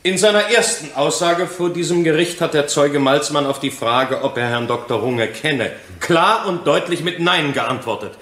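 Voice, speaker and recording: A man's voice: on the high side, moderate pace, few pauses, a bit bright, natural voice. An actor playing a sceptical male defence lawyer in a radio crime drama, formal and challenging. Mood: formal objection. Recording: roomy, echoing courtroom sound.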